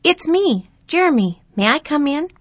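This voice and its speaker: A woman voicing an excited, earnest young boy in a storybook reading, his lines pitched high and loud. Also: moderate pace, dry recording. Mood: eager. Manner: high and eager, loud exclamations.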